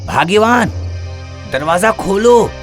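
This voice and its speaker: Animated meek village potter. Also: male voice, high-pitched, takes it slowly, music in the background. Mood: urgent, excited. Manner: hesitant, exclamatory. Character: meek, crafty poor village potter, harried husband. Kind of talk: cartoon story voice acting.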